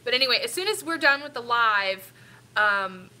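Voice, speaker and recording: A woman's voice: on the high side, expressive, moderate pace, sharp tone, slight background noise. A middle-aged woman with a stuffy, sniffly nose, rambling casually with lots of ums while hosting a family live stream. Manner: rambling, chatty, with frequent ums.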